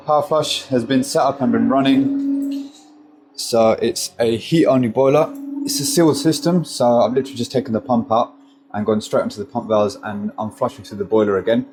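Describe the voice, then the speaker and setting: A man's voice: fairly low pitch, warm tone. A gas engineer with a stuffy nose from a cold, chatting to his phone as he explains his jobs step by step. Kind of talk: casual work vlog to camera. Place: customer's house. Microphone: handheld phone.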